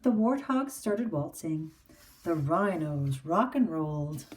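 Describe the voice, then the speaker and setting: A woman teacher reading a picture book aloud to children in an expressive storybook manner. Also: sits low, slow, recorded from a distance, slight background noise.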